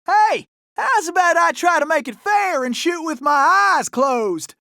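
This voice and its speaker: An actor playing a cocky, gallant young cowboy outlaw in a video game, with a folksy, exclamatory Old West Southern drawl. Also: male voice, extremely high pitch, animated, a little slow, gravelly, pushed and bright, dry recording, clean studio sound, exaggerated voice. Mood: cocky, taunting. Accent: American Old West Southern drawl. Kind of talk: animated video game character acting.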